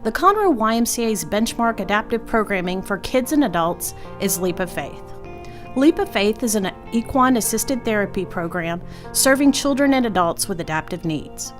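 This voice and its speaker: A woman delivering scripted promotional narration as an announcer for a community spot. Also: mid-range voice. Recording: music bed behind voice. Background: music.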